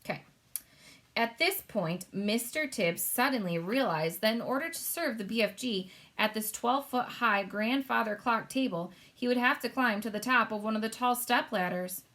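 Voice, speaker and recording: A woman narrating a children's story, reading aloud in an expressive storytelling style. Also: fairly high pitch, moderate pace.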